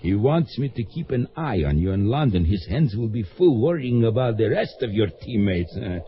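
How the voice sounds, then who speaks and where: A man's voice: fairly low pitch, sing-song, normal speed, slight background noise. An actor playing a worried elderly Russian father in a radio drama, hesitant and stammering, on a dull lo-fi recording. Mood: fretful. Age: older. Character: worried old Russian father, anxious and protective. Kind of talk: old-time radio drama acting.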